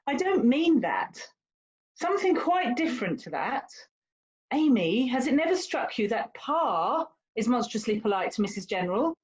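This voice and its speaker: Dull recording, woman acting haughty young lady, period drama, highish voice, very lively intonation, condescending, emphatic, theatrical. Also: normal speed. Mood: insistent. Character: haughty, worldly young English lady, teasing older sister. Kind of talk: stage-style period drama dialogue.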